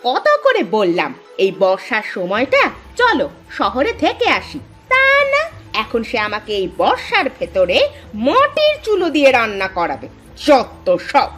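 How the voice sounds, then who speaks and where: Casting: woman to play plaintive village wife for animated folk tale, high voice, theatrical, over background music. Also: a little slow, full character voice. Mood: annoyed, complaining. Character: village wives, grumbling housewife and poor humble stove seller. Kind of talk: Bengali fairy-tale cartoon voice acting. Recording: music under the voice.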